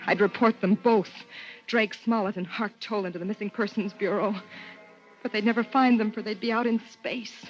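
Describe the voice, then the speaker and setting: 1950s radio drama: troubled, sharp woman biologist played by an actress, unflinching, dated muffled sound, faint music. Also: medium pitch, fairly even intonation, normal speed, natural voice. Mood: numb, bitter. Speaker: actress and first-person narrator.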